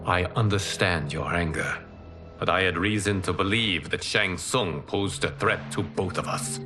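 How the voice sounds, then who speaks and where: An actor playing a calm, wise male demigod in a video game cutscene, speaking in a measured, solemn way. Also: low voice, faint music behind. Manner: measured, solemn, soft-spoken. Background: orchestral game score.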